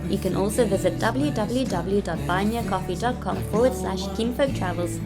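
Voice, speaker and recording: A woman's voice: medium pitch, fairly quick. Young South African woman vlogging over music. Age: young adult. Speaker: travel vlogger. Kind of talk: casual vlog narration and chat.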